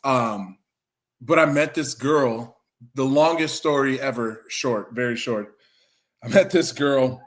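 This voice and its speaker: A man's voice: medium pitch, slow. A man in his forties telling a long, rambling story in a loose, chatty way. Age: 40s. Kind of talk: casual chat, rambling storytelling.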